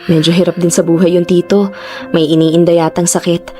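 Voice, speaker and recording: A woman's voice: lowish voice, little pitch movement, brisk, dark tone, natural voice. A woman acting a weary, dutiful young daughter. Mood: resigned. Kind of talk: Tagalog radio drama acting. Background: music.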